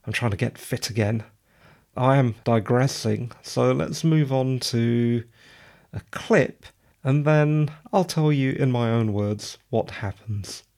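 A man with a slightly croaky voice, chatting casually and digressively as a podcast host. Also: mid-range voice, takes it slowly, a little mellow. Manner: chatty, digressive, self-deprecating. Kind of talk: casual solo podcast talk.

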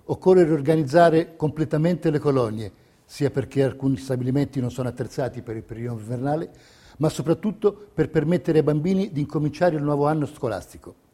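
A man narrating a scripted documentary theatre piece in a measured, declamatory manner. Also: fairly high pitch, warm tone. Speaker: stage narrator.

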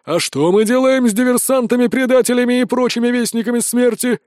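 A man's voice: high-pitched, lively intonation, moderate pace, mellow, dry recording, clean studio sound. A man voicing a suspicious, spiteful village elder who accuses and rouses a mob in a fantasy audiobook. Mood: accusing. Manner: accusing, rabble-rousing.

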